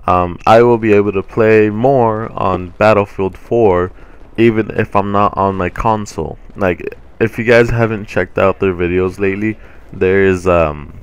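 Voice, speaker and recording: A young man hosting a gaming channel, rambling casually over gameplay with a deep voice and many ums, his throat a little sore. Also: clear, resonant tone. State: sore throat from swollen tonsils.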